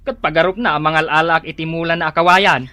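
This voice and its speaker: A dry, close recording of a tense, bright male voice as a fretful husband in an Ilocano radio drama. He worries melodramatically, with an Ilocano accent. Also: fairly high pitch, fairly even intonation, fast talker, dry recording. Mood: anxious. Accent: Filipino, Ilocano. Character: fretful, fearful husband fussing over his sore throat.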